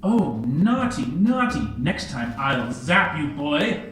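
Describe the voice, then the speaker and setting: Man playing a high, gleeful, taunting hologram trickster. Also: big pitch swings, heavy reverb, background noise. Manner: taunting, playful, drawn-out delivery. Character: gleeful teasing male hologram trickster, impish and theatrical. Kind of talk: character voice in a sci-fi tabletop game.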